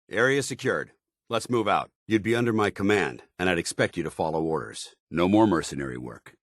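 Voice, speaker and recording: Video game military paladin: very low, animated delivery, honorable, stern, authoritative, clipped military diction. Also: male voice, slightly rough, dry recording, studio quality, heavily acted. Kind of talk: video game companion dialogue.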